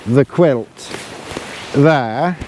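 Easygoing man in his forties, unhurried camping-gear vlogger with a light hiss behind him. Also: mid-range voice, a little mellow. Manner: chatty, rambling, repeats words, chuckles. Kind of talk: casual gear review. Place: inside a small tent, lying in a sleeping bag.

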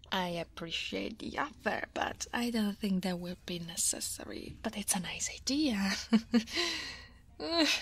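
Woman acting as female dragon coworker, weary, easily flustered, soft breathy half-whisper, unhurried slow delivery, ASMR roleplay. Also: mid-range voice, full character voice. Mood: flustered, hesitant. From Italy.

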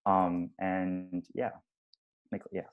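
Deep-voiced young man giving advice. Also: monotone, drawn-out words, smooth, narrow, tinny audio. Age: young adult. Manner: earnest advice-giving, filler-heavy. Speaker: college student on alumni panel. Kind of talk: casual Q&A on a video call. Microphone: laptop mic on a video call.

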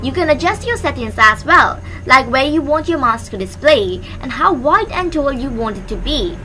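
A woman's voice: high-pitched, expressive, normal speed, sharp tone, low-quality recording. A young woman hosting a tutorial, giving scripted step-by-step instructions into a close microphone. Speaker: tutorial host. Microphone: close microphone on a stand. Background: background music.

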